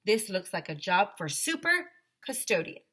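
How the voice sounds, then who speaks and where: A woman's voice: medium pitch, big pitch swings, normal speed, sharp tone. A woman voicing a boastful, eager school custodian in a children's storybook, with grandiose superhero-style proclamations. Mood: heroic, confident.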